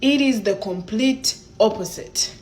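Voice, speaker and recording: A woman with a Ugandan accent speaks at a medium pitch, recounting her observations from home. She is blunt and teases a little as she talks. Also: measured pace, a little mellow. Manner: frank, lightly mocking. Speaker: content creator in a played clip. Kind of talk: storytelling commentary.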